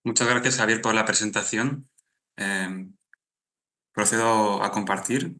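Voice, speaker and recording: A young man giving a polite, formal presentation on a video call, with hesitant pauses. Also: on the low side, steady tone, pushed and bright. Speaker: guest architect, award winner.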